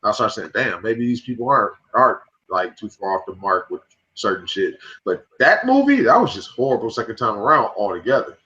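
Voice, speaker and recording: A man's voice: on the low side, sing-song, a little fast, pushed and bright. A man chatting casually on a podcast, rambling with many restarts and some swearing. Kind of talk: casual chat about movies. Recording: dull lo-fi mic.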